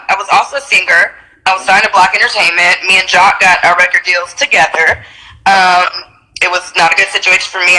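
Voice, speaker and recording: Chatty, bright-voiced stuffy-nosed woman. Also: on the low side, poor audio. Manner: animated, chatty, slangy, laughs often. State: stuffy nose from allergies. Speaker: reality TV personality and singer, interview guest. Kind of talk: casual interview chat.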